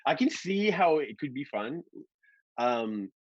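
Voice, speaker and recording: A man's voice: medium pitch, sing-song, slow, muffled recording. Chatty rambling man in his forties. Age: 40s. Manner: rambling, swears freely, laughs often. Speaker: guest drone pilot. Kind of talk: casual chat.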